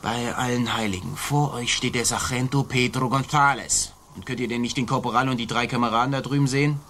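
An actor playing a boastful, swaggering Spanish colonial army sergeant in a theatrical, declamatory radio play style. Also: male voice, mid-range voice, fairly quick, a bit bright, a little hiss or hum, natural voice. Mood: blustering, threatening.

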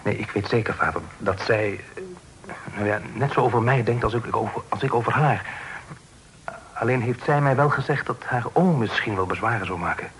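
An actor playing an earnest, deferential young son in love in a period radio drama, hesitant, polite and formal. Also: male voice, on the low side, brisk, a bit bright, natural voice. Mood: hesitant, pleading. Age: young adult. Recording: noisy old radio play recording.

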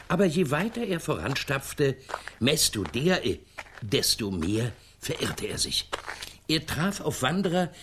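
Tongue-tripping male narrator, extremely swooping intonation, comic radio story, clear noise and clicks. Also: medium pitch. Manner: stumbles and swaps syllables.

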